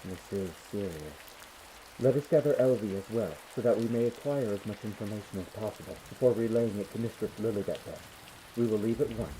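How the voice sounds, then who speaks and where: Grave vampire-castle manservant male actor, low and very dark, clipped formal delivery, audio drama. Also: brisk, a little hiss or hum, lightly acted, low-quality recording. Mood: grave, urgent. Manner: terse, formal, serious. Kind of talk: scripted roleplay audio drama. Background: rain.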